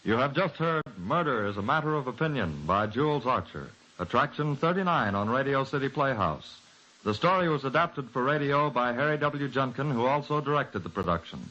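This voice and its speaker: A man's voice: medium pitch, animated, fairly quick, bright, forceful tone. A man announcing formally as a mid-century radio announcer, with projected, scripted delivery. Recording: dull, noisy old radio broadcast.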